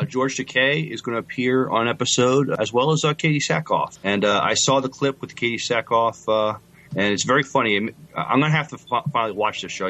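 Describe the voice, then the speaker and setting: Man, podcast co-host, lowish voice, hesitant, stumbling restarts, casual conversation. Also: a bit bright. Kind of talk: casual chat.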